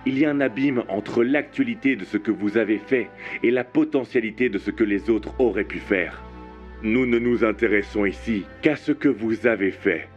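An actor playing a stern judge addressing the accused in solemn, deliberate formal speech in a radio drama. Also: male voice, lowish voice, close to an everyday voice. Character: stern male trial judge delivering judgment. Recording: dull lo-fi radio with music under.

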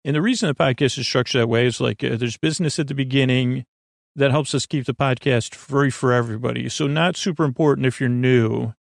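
A man with a creaky voice hosting a bedtime podcast in a meandering, halting monologue that keeps interrupting and repeating itself. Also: mid-range voice, dry recording, clean studio sound. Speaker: podcast host.

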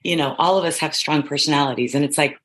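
A middle-aged woman with a low, husky voice, chatting as an interview guest in a rambling, emphatic, self-affirming way. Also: fairly even intonation, fast talker, a bit bright. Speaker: reality show contestant guest. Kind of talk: casual interview chat.

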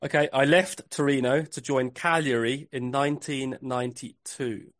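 A man hosting a casual podcast quiz, reading clues slowly and repeating them. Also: mid-range voice, fairly even intonation, no room sound, studio quality. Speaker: podcast host and quizmaster.

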